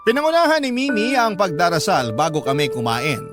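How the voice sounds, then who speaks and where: A man's voice: high voice, wildly swooping pitch, fairly quick, music in the background. A man with a Filipino accent giving scripted first-person narration for a drama.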